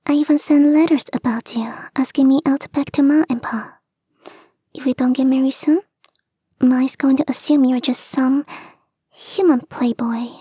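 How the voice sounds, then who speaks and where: A woman voicing a clingy young kitsune fox girl in an intimate ASMR roleplay, soft and breathy, often whispering, coaxing and sweetly insistent, close to the microphone. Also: high voice, steady tone, moderate pace, no echo. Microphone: close, dry microphone.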